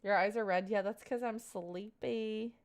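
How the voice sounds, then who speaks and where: Smooth-voiced young woman chatting with viewers. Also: medium pitch, fairly even intonation, unhurried. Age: 20s. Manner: rambling, filler-heavy, earnest advice. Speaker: live streamer. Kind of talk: casual chat answering viewers.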